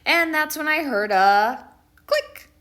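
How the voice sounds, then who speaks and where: A mischievous schoolboy played by a woman reader tells his own storybook tale with great drama. The voice is high and very animated, taking its time with emphatic words and long pauses. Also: full character voice. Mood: dramatic dismay. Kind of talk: first-person picture book storytelling.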